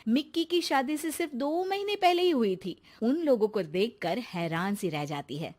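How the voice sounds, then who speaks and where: A woman's voice: fairly high pitch, lively intonation, moderate pace, a little mellow. A woman narrator telling a scripted cartoon story.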